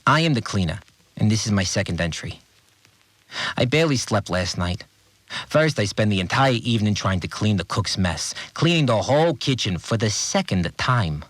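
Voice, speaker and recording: An actor playing an obsessive, resentful cleaner confiding in a dramatic audio diary, agitated and brooding. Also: male voice, lowish voice, very animated, normal speed, fairly bright tone, no room sound. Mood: weary frustration. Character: obsessive, unhinged male cleaner, seething with murderous resentment. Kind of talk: dramatic first-person audio diary monologue.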